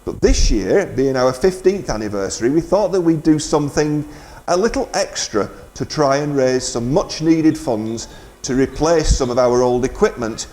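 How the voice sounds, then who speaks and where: A man's voice: mid-range voice, expressive, moderate pace, few pauses, darkish tone. A man presenting live community radio, making a fundraising announcement in an informal, hesitant, bantering way.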